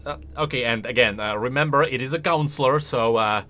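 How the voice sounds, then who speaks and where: A man's voice: mid-range voice, a little fast, pushed and bright, faint music behind. A man giving casual, wry, opinionated reaction commentary as a video game lore commentator.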